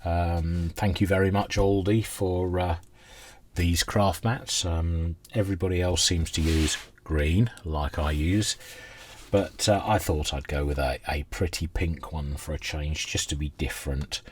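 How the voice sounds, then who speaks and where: A man's voice: low voice, fairly even intonation, a little slow, slightly rough. A middle-aged man chatting in a rambling, self-deprecating way as he gives a casual unscripted demonstration at his workbench. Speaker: hobbyist presenter restoring vintage radios. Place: indoor workbench.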